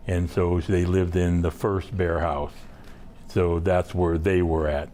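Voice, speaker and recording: Male guest from Southeast Alaska, deep and slow, relaxed storytelling. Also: a little hiss or hum. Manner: slow, unhurried, halting with many fillers. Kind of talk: casual interview storytelling.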